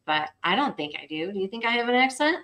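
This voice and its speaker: A woman's voice: fairly high pitch, sing-song, fast talker, a bit bright. A woman from the Midwest chatting casually as a podcast guest, laughing often and repeating her phrases.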